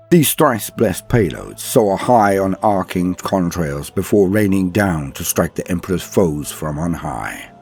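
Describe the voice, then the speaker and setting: A man delivering dramatic scripted narration as a host, solemn, theatrical and grandiose, with a husky voice. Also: sits low, darkish tone. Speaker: host and narrator of a lore show.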